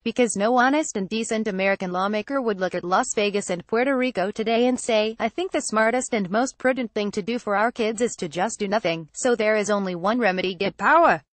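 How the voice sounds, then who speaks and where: Female reader for an op-ed; steady, measured, emphatic pauses, dry booth sound. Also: mid-range voice, dry recording. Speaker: reader of an opinion column. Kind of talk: read-aloud opinion column.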